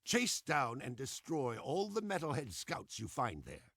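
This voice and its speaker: An actor playing a bossy, pompous old man who leads an underground resistance in a video game, with a raspy voice and a grumbling, commanding manner. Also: medium pitch, wildly swooping pitch, unhurried, fairly bright tone, no echo, studio quality, heavily acted. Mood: urgent orders. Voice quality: raspy, creaky. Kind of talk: animated video game cutscene acting.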